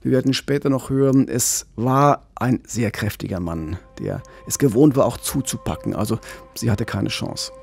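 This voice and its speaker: A middle-aged man co-hosting a true-crime podcast in a radio studio, explaining calmly with some restarts. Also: mid-range voice, normal speed, gravelly, husky, soft background music. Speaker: podcast co-host and crime reporter. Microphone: studio microphone with pop filter, headphones.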